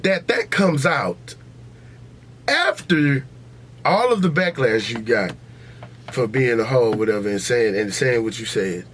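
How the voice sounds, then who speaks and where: A man's voice: medium pitch, lively intonation, a little slow, pauses often, fairly bright tone, a little hiss or hum. An American man hosting a podcast in a casual, opinionated debate, blunt and profane, repeating himself for emphasis. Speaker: podcast host.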